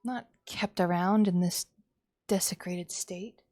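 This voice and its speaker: A woman reading a visual novel aloud as dramatic storytelling, in a hushed tone. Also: fairly low pitch, steady tone, slow, no echo, studio quality. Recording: music behind the voice. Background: background music.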